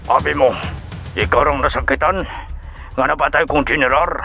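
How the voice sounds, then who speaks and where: A man's voice: medium pitch, moderate pace, gravelly, bright, forceful tone. A man playing a desperate, agitated gunman defending himself to his rival in an audio drama. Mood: desperate, defensive. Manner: agitated, exclamatory. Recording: music under the voice.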